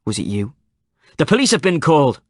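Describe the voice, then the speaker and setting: A man voicing an angry woman who shouts demands through a locked door. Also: fairly even intonation, a little fast, breathy edge, no room sound, studio quality. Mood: furious. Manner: shrill shouting.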